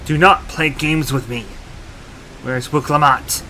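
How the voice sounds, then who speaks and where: A man's voice: on the high side, fairly even intonation, slow pace, sharp tone, slight background noise, poor audio. Stern, imperious royal man. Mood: angry, threatening. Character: stern, commanding royal man plotting against bandits. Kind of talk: fantasy video game voice acting.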